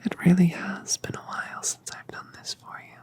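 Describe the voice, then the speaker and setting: A woman voicing a colossal, tender mother dragoness in a whispered ASMR roleplay, speaking softly and soothingly. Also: lightly acted. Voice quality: breathy whisper. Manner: soft, slow, soothing.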